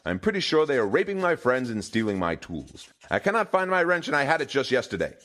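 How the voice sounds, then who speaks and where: A man's voice: mid-range voice, sing-song, brisk, fairly bright tone, pitched above the performer's own voice. A man playing a pompous, petulant, foolish king in a comedic fantasy audio drama, blustering with theatrical indignation. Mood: indignant, haughty.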